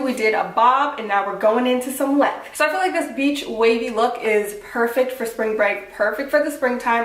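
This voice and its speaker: A fairly high-voiced woman, stuffy-nosed from a cold, talks through a casual beauty review. She sounds cheerful and a little apologetic, peppering her speech with fillers. The room echoes around her, and she sits at some distance from the mic. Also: a bit bright. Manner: upbeat and apologetic, filler-heavy with lots of "like" and "um". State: congested from a cold. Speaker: beauty vlogger reviewing a wig. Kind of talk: casual chatty product review. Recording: roomy echo, voice from a distance.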